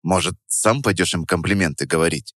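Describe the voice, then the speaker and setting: A man's voice: low-pitched, a little fast, fairly bright tone, no room sound, studio quality, voice pushed down. A man voicing a grumpy, curt young man who mutters his lines in an audiobook. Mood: irritated. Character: grumpy young man, self-deprecating cook and hero. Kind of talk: audiobook character voice.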